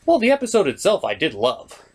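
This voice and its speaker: A man's voice: high-pitched, huge pitch swings, a little fast, husky. A man hosting a scripted comedic episode review with a sarcastic delivery. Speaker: reviewer, host.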